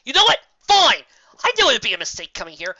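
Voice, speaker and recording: Lady doing a fed up pegasus from a storybook, bright n forceful, swoops up when she protests. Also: high voice, wildly swooping pitch, fairly quick, no room sound, highs cut off, voice pushed up, heavily acted. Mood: furious outburst. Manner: exasperated, emphatic protesting. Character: temperamental, fed-up pegasus mare, earnest and outraged.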